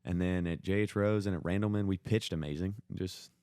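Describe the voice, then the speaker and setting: Deep-voiced young American guy telling sports stories. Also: fairly quick, dark tone, dry recording, studio quality. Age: young adult. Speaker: podcast co-host and former high school baseball player. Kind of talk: casual sports storytelling.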